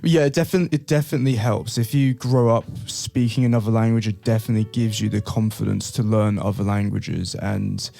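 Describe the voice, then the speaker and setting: A man's voice: on the low side, fairly quick, gravelly, hardly any pauses. A young man, born in Brazil, chatting casually as a co-host and telling stories about his childhood. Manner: relaxed, thoughtful storytelling with restarts. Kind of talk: casual chat.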